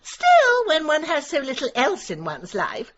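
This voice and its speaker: A woman's voice: high voice, wildly swooping pitch, measured pace, a bit bright, dull audio, full character voice. An actress playing a haughty, spiteful British village lady, pompous and theatrical, in a radio comedy-drama. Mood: haughty, catty.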